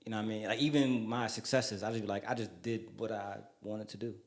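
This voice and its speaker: Quick-talking laid-back man in his thirties. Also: on the low side, steady tone. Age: 30s. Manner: laid-back, trails off, repeats words, slangy. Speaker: podcast host. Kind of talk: casual chat. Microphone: studio podcast mic he set up himself.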